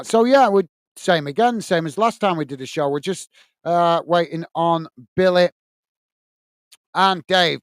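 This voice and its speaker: Blunt, passionate Lancashire-accented football host. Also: male voice, on the high side, smooth, dry recording, studio quality. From England. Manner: passionate, blunt, addresses viewers by name. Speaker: football channel host reading live chat. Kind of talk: casual live-stream chat. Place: small home studio.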